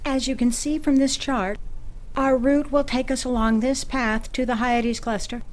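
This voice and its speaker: Woman playing a higher-voiced, businesslike briefing officer. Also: fairly even intonation, moderate pace, a little mellow, lightly acted. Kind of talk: audio drama acting, chart presentation.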